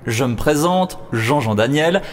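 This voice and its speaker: A man's voice: mid-range voice, expressive, a little slow, slight background noise, natural voice. A man acting a self-important start-up turncoat in an amateur audio comedy, with a pompous manner. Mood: smug. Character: smug, aggrieved male start-up turncoat. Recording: long hall echo, faint music.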